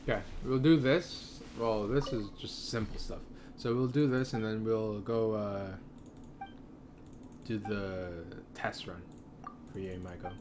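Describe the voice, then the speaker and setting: A man with a fairly low voice casually narrates his gameplay as a streamer. He talks in an offhand mutter, half to himself, with very loud game noise behind him. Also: fairly even intonation, slow pace. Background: video game sound effects.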